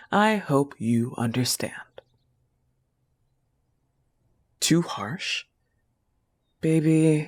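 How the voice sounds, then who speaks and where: A woman's voice: deep voice, exaggerated voice. A woman voicing a protective, affectionate young goth wolf-girl girlfriend in an intimate audio roleplay, coaxing and reassuring the listener with a firm manner. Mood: coaching, firm.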